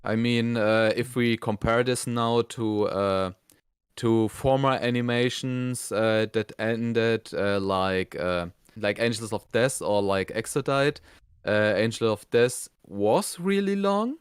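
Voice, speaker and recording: A man's voice: on the low side, slow pace, smooth, no room sound, clean studio sound. A man co-hosting a review show, giving his opinions in casual conversation.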